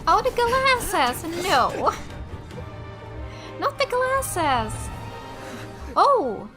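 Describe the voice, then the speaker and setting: A woman from Finland, a native Finnish speaker, giving casual let's play commentary, thinking and reacting out loud as she plays. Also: very high voice, very animated, extremely slow. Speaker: gaming video host. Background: game music.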